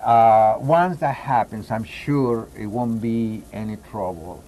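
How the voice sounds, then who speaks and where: A man talks casually as an interview guest, haltingly, restarting phrases as he searches for words. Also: lowish voice, dark tone. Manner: halting, searching for words with repeated false starts. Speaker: guest, poetry reader. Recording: clear background noise and ambience.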